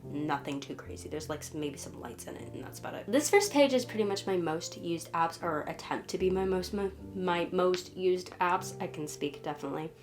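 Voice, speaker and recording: A woman's voice: lowish voice, expressive, a little fast, hardly any pauses, music in the background. A woman vlogger with a cold and a stuffed-up nose. She sounds nasal as she chats and rambles through her phone setup, often correcting herself. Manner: rambling, talkative, self-correcting. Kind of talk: casual chatty show-and-tell. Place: home, humidifier running.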